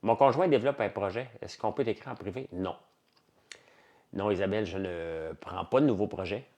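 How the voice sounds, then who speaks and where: A man speaks in a low voice with a strong Quebec French accent. He hosts an informal livestream, talking off the cuff to viewers. His delivery is colloquial and blunt, and he tends to ramble and repeat his phrases. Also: normal speed, dark tone. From Quebec, Canada. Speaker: livestream host. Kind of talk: casual livestream chat with viewers.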